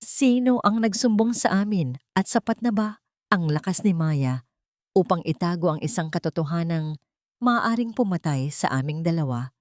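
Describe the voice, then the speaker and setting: A woman's voice: lowish voice, normal speed, no echo, clean studio sound. A woman reading a scripted first-person story in a dramatic storytelling style. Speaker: story narrator.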